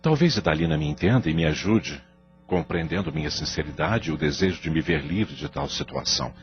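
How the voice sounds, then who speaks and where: A man's voice: very deep voice, expressive, a little fast, dull audio, over music. A man acting a troubled, remorseful husband and father in an audio soap opera, brooding and emphatic. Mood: weary, brooding.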